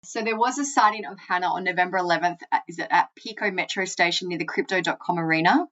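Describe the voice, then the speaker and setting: A woman with a fairly low voice hosts a true crime podcast. She moves between reading material aloud and informal, loosely phrased commentary. The recording is a little dull and muffled. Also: fast, a bit bright.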